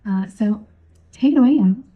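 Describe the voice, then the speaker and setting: A woman's voice: medium pitch, moderate pace, slightly rough, lo-fi sound. A woman moderating a conference panel, casual and bubbly, laughing easily as she introduces the speakers. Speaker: community manager and panel moderator. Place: conference stage.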